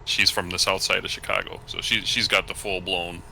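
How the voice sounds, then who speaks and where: Male, deep gravelly voice, bright tense tone, dry teasing humor. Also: little pitch movement, brisk, slight background noise, low-quality recording. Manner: teasing, joking. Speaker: livestream guest. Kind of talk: casual chat.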